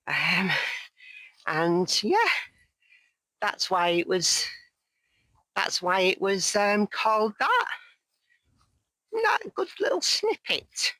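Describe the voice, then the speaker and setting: Hoarse, chatty woman outdoors. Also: on the low side, pushed and bright, no room sound. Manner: chatty, rambling, jokey and self-mocking. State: hoarse, losing her voice. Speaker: vlogger. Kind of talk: casual chat to camera while hiking. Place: outdoors on a mountain path.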